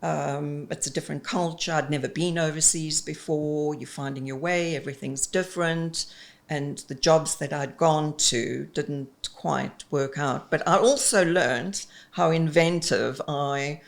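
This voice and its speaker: Gravelly South African woman in her fifties. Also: low-pitched, measured pace. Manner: chatty and expressive, with frequent ums. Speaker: executive coach. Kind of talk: casual interview chat.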